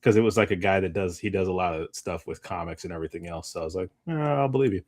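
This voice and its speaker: A man's voice: low-pitched, fast, a little mellow. A man chatting casually about movies as a podcast co-host, rambling and often trailing off mid-thought.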